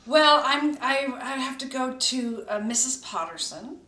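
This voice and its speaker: Slow, amused woman in an echoey room. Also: high-pitched, lively intonation, sharp tone. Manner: amused, chuckling, halting. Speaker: cast member. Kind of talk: casual chat. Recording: roomy room, distant mic.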